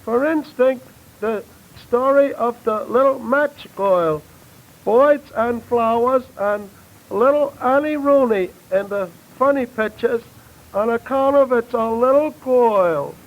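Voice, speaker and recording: An actor playing a dim, mawkish racketeer acting innocent in halting, ungrammatical New tough-guy talk, in 1930s radio comedy style. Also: very high voice, narrow, tinny audio, exaggerated voice. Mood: mock innocent. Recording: thin, band-limited old radio recording.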